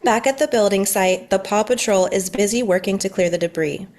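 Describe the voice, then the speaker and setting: Female narrator for a story table read, mid-pitched, reading from a script. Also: fairly quick, darkish tone.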